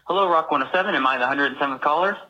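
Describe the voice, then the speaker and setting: Bright, husky man on a lo-fi voicemail. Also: on the high side, rapid-fire. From Texas, United States. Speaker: caller leaving a voicemail. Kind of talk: casual voicemail message. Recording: dull, lo-fi voicemail recording.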